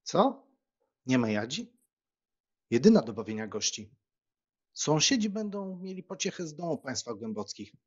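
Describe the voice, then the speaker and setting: A man acting a chatty, good-natured young country gentleman in an audiobook drama, with a casual, jovial manner. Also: fairly high pitch, big pitch swings, normal speed, pauses often, husky. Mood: breezy, teasing. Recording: dull, lo-fi sound.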